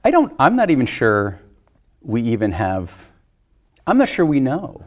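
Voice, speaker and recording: A man's voice: mid-range voice, wildly swooping pitch, unhurried. A man in a casual discussion, giving his opinions hesitantly and circling before he lands on a point. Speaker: film discussion panelist.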